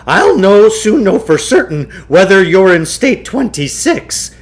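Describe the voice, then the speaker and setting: Guy doing a pompous oddball shop owner from a kids book, high voice, swoops around, grandiose showman. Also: very animated, unhurried, exaggerated voice. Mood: excited. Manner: pompous, theatrical. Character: eccentric male occult shopkeeper, grandiose, boastful showman. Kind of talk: acted storybook dialogue.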